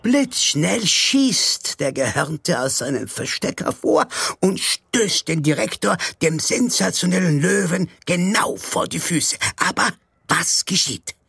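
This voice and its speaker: High, creaky, melodramatic announcer. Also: male voice, animated, fairly bright tone, dry recording, studio quality, heavily acted. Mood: astonished, sensational. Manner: declamatory, sensational, drawn-out. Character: melodramatic stage announcer narrating a farcical play. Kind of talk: theatrical scripted narration.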